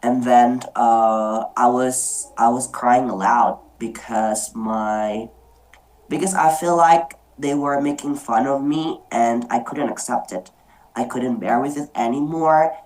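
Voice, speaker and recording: Very low-voiced woman moderating slowly. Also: a little mellow, low-quality recording. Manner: hesitant, many fillers and restarts. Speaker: meeting moderator. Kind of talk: online group discussion, personal storytelling. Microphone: video call.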